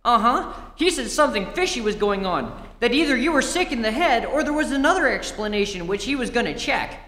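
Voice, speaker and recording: A woman's voice: on the high side, lively intonation, brisk, fairly bright tone. A woman playing a plucky, apologetic boy sidekick in an old-time radio play re-enactment. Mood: hopeful. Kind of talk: stage re-enactment of 1940s radio acting. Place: theatre stage, live performance. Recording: echoey hall with reverb.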